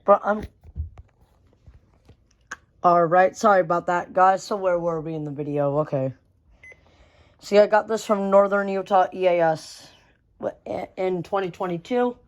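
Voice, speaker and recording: A young hobbyist talks through a collection in an informal, unscripted vlog style. The pitch sits in a middle range, and the wording is slangy, with repeated phrases and occasional mild swearing. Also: a little slow, long pauses. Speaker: vlogger showing a weather radio collection. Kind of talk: casual hobby show-and-tell, unscripted.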